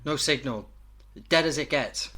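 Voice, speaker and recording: A man's voice: mid-range voice, unhurried, pushed and bright, breathy edge. A man playing an uneasy, sceptical 1930s television sound engineer in an audio drama, questioning and technical-minded.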